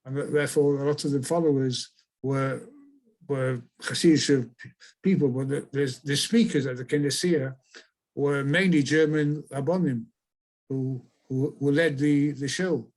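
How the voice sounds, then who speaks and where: An older man, born in Chile and raised in England, answering interview questions in a halting way, often restarting his phrases. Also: medium pitch, steady tone, a little mellow. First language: Spanish. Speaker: author and guest.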